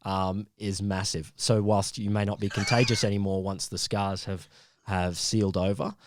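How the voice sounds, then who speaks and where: A man's voice: lowish voice, flat delivery, moderate pace, noisy background. A man co-hosting a casual science podcast, explaining a topic with frequent ums and restarts. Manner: hesitant, lots of ums and restarts, laughs easily.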